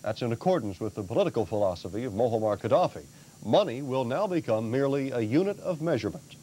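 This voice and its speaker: A man's voice: mid-range voice, big pitch swings, quick pace, warm tone. An American man reading scripted television news as an anchor, on a 1980s broadcast. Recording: 1980s TV broadcast, faint noise.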